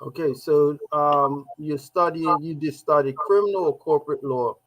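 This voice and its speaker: This is a man hosting a live regional roundtable. His voice sits a little higher than most men's. He speaks deliberately, in measured, emphatic phrases. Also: low-quality recording. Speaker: talk show host.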